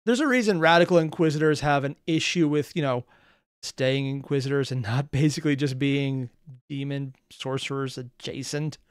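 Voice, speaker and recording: A man's voice: mid-range voice, moderate pace, clean tone, dry recording, clean studio sound. A man hosting a reaction video, giving off-the-cuff, sarcastic and exasperated commentary full of rambling asides.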